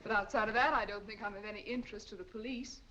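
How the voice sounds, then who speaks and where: A gracious but cold villainess, voiced by a woman, all silky, polished politeness that hides her cunning. Her pitch sits at a middling height. The sound is dull and muffled, like an old radio broadcast. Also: quick pace, bright, forceful tone, a little hiss or hum, close to an everyday voice. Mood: scheming. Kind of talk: 1940s radio acting.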